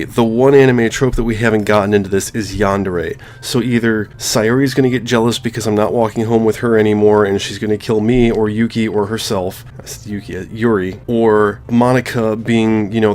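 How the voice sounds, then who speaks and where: A man's voice: on the low side, darkish tone. A man giving casual, joking game commentary with a sarcastic, sweary manner. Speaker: let's play host reading a visual novel aloud.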